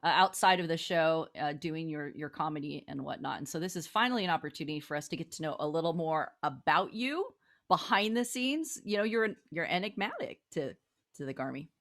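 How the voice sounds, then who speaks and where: A woman's voice: deep voice, expressive, fairly quick, a bit bright. A woman hosting a talk show in casual, playful chat.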